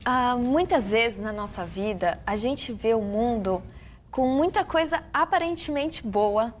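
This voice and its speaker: A woman with a Brazilian Portuguese accent, hosting a relaxed youth panel, lively and reflective. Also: on the high side, unhurried, a little hiss or hum. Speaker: host. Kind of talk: casual panel chat.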